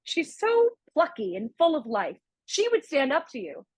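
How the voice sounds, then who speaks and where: Radio play: affectionate, breezy young woman played by an actress, high-pitched, lilting and animated, chatty. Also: moderate pace, no room sound. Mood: chatty, admiring. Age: young adult. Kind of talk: comic radio play acting.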